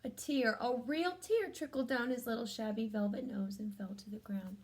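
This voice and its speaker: A woman reading a storybook aloud to children, adding gentle chatty comments and questions for young listeners. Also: fairly high pitch, normal speed. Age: adult.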